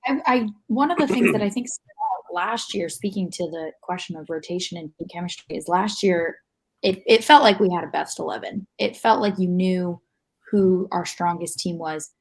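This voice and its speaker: A woman co-hosting a casual sports podcast, analyzing the team and thinking out loud. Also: lowish voice, normal speed. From United States. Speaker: podcast co-host.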